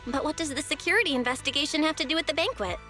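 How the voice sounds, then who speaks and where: An actress playing a spirited, inquisitive young junior disciple in a video game, pert and expressive as she talks. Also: sits high, rapid-fire, soft background music. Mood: curious. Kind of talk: English video game voice acting.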